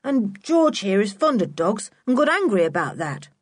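A woman voicing a bold, bossy, quick and secretive schoolboy in a children's audiobook, with an urgent, exclaiming manner. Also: mid-range voice, very animated, dry recording, studio quality. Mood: polite, explaining. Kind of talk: children's audiobook character acting.

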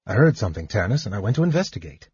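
A man doing a resolute young guard giving a brisk report, his voice husky and firm. The sound is dry and dull. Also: lowish voice, sing-song, a little mellow, no room sound, heavily acted.

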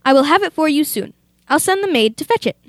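A young woman playing a blithe, unbothered lady in a comic old-time radio play, polite and deadpan. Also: high voice, fast talker, slight background noise. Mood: matter-of-fact. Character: blithe, unbothered lady, sister of a murdered man.

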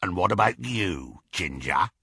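Rasping, savage werewolf villain. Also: male voice, sits low, animated, sharp tone, no echo, studio quality, exaggerated voice. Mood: gloating. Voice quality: rasping, growling. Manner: slow, savouring, snarling. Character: savage, gloating adult male werewolf villain, leering and menacing.

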